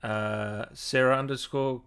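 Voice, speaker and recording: A man's voice: medium pitch, steady tone, slow, fairly bright tone, clean tone. A man with an Australian accent hosting a casual interview in a laid-back, friendly way. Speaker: interview host.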